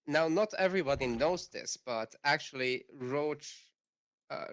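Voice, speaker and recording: A man with a Hungarian accent co-casting a strategy game, calmly explaining builds and upgrades. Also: medium pitch. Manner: explanatory, analytical. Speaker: guest co-caster. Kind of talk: casual game analysis.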